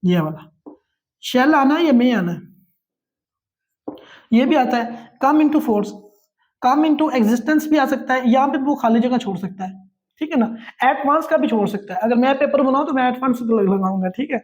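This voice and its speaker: A man's voice: extremely high pitch, animated, a little slow. A man with a Pakistani accent lecturing as a law teacher, reading aloud and explaining in a repetitive, instructional way with frequent check-in tags.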